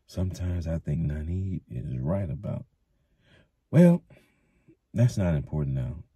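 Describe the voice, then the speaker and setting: A man's voice: rumbling low, big pitch swings, a little slow, mellow, slightly rough, no room sound, clean studio sound, exaggerated voice. A man doing a hearty, stout village mayor. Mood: earnest. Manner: hearty, knowing. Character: stout jovial middle-aged male mayor and innkeeper.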